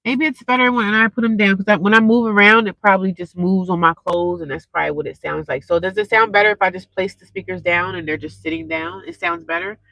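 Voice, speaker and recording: A woman's voice: lowish voice, fairly even intonation, fast talker, gravelly, hardly any pauses, bright, forceful tone, dry recording, muffled recording. A young woman, stuffed up and congested, chatting casually with viewers on a live stream through a cheap earphone mic. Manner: rambling, chatty, talks straight to viewers.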